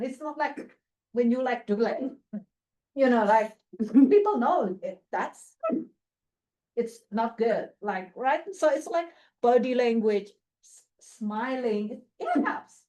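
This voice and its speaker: A woman with a somewhat high voice whose English is not her first language. She gives an animated, casual talk with slides, full of fillers and quick restarts. She laughs often as she speaks to the room. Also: low-quality recording. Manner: chatty, many fillers and restarts. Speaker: guest speaker, faculty member.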